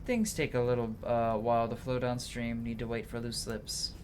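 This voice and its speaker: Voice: mid-range voice, flat delivery, moderate pace, a little hiss or hum. A streamer reads game dialogue aloud in a dramatic way, stumbling now and then and correcting mid-word.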